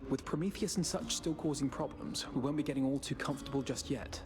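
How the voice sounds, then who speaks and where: A man's voice: mid-range voice, fast talker, lightly acted. A man voicing an easygoing, encouraging young god in a video game, speaking in casual, informal phrases. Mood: relieved, encouraging. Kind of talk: scripted video game voice acting. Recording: music behind the voice.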